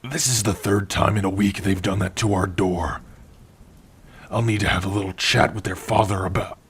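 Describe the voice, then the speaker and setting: Man voicing a very husky, gentle orc. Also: steady tone. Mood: tender, puzzled. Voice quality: husky, breathy, low. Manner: soft, halting, hushed, intimate. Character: gentle, protective male orc, tender and worried partner. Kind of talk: ASMR roleplay acting.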